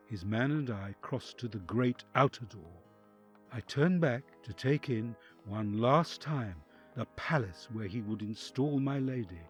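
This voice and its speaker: An actor playing a count's anguished envoy torn by conscience, narrating an audio drama in an expressive, literary, introspective way. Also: male voice, medium pitch.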